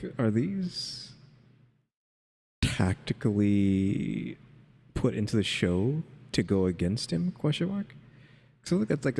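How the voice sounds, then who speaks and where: Low gravelly man in heavy reverb. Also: mellow. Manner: rambling, thinking aloud, speculative. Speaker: reaction video commentator. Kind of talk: casual off-the-cuff commentary.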